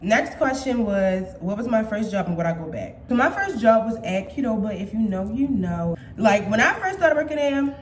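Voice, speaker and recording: A woman's voice: medium pitch, sing-song, moderate pace, few pauses, echoey room, slight background noise. A woman in her twenties chatting casually and playfully to her viewers as a vlogger, swearing freely. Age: 20s. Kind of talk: casual chat.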